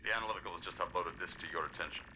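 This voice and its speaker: A man's voice: low-pitched, very fast, pushed and bright, slight background noise. An actor playing a cold, menacing corporate henchman in a cartoon, terse, dour and scornful. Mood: grim.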